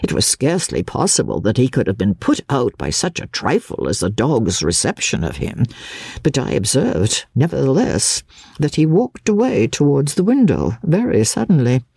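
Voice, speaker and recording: A woman narrating classic literature as a dramatic audiobook, in a measured, deliberate and expressive way. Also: a bass voice, vocal fry, breathy edge, dry recording.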